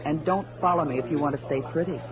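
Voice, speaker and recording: An actress playing a sultry, scheming nightclub singer with a coy, teasing manner, in 1940s radio noir style. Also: female voice, low-pitched, fast, over a noisy crowd, natural voice. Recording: old lo-fi radio recording with music.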